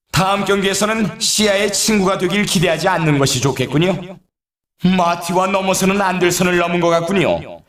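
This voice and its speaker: An excitable snowboarding announcer for a video game. His pitch swings wildly as he delivers polite, dramatic scripted commentary. The sound is echoey and set back from the microphone. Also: heavily acted. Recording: roomy echo, distant from mic.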